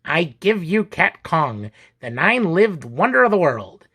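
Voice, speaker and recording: A greedy mouse doctor from a children's storybook, acted out by a male reader. He proclaims like a showman, grand and boastful, in a husky voice that swoops about and drags out words for effect. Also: a little high, sing-song, a little slow, pushed and bright, pitched above the performer's own voice, full character voice. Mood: boastful, proclaiming.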